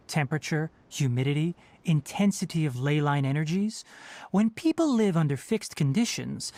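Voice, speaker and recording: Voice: medium pitch, a little mellow. An actor voicing a composed, clinical young scholar in a video game, speaking in a precise, formal, lecturing way. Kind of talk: scripted video game dialogue.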